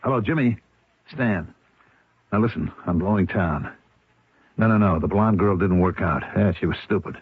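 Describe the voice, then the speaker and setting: A slick, double-crossing crook in an old radio drama. His voice is low and husky, with clipped, bossy, streetwise delivery. The sound is dry and tinny. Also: moderate pace, long pauses, no echo. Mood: brisk, scheming. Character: slick, bossy small-time con man, sly and double-crossing. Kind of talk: 1970s radio drama acting. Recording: tinny, band-limited old radio recording.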